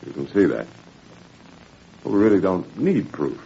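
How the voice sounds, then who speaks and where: An actor playing a smug, menacing Nazi official with sneering, mock-courteous manners in 1940s radio drama style. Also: male voice, sits low, very animated, moderate pace, vocal fry, mellow, heavily acted. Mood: interrogating, gloating. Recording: muffled, hissy 1940s radio recording.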